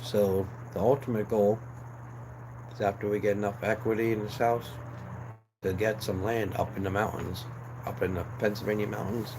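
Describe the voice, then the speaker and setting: A young man with a lowish voice and a hoarse, fading throat chats on a home livestream, wandering from thought to thought with lots of ums and restarts. A steady hum of background noise sits clearly under him. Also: normal speed. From New Jersey, USA. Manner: rambling, relaxed, many fillers and restarts. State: losing his voice. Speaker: livestream host. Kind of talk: casual live chat.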